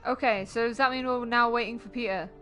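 A young woman chatting excitedly and swearing playfully with friends over voice chat during a game. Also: a little high, fairly quick, soft background music. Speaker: gamer on voice chat. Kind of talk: casual gaming banter.

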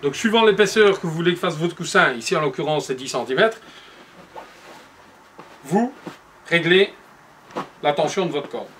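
A man's voice: on the high side, animated, normal speed, long pauses, a bit bright, a little hiss or hum. A man in his forties patiently giving step-by-step upholstery instructions in his workshop showroom, recorded on the camera mic. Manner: patient, explanatory, addresses viewer directly. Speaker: upholsterer demonstrating cushion making.

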